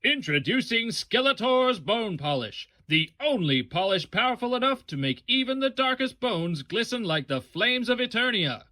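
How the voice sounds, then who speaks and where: A man's voice: very high-pitched, sing-song, normal speed, few pauses, bright, forceful tone, full character voice. A man voicing a gleefully evil skeletal overlord who boasts theatrically in a parody radio advert. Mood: gloating menace.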